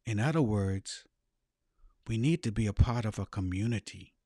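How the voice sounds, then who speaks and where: A man's voice: fairly low pitch, moderate pace, mellow, no room sound, clean studio sound. Bahamian man giving motivational coaching talk. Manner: earnest, emphatic, repeats key phrases. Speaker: life coach and podcast host.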